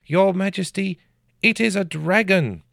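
A man voicing a frightened peasant headman who stammers and quavers, breaking into high panicked shouts. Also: wildly swooping pitch, takes it slowly, vocal fry, no room sound, clean studio sound, exaggerated voice.

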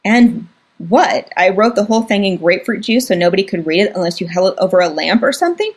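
A woman voicing an irritable, tough teenage girl who talks to her younger brother in a sharp, exasperated way. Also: medium pitch, lively intonation, fast, breathy edge.

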